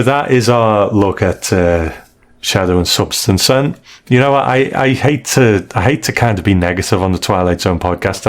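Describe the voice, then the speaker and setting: A man hosting a podcast, giving his views conversationally in a measured, polite way. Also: lowish voice. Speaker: podcast host.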